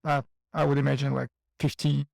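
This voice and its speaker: A man hosting a podcast interview, asking searching questions in a halting way. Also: medium pitch, little pitch movement, vocal fry, dry recording, studio quality. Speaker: podcast host. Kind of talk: casual interview chat.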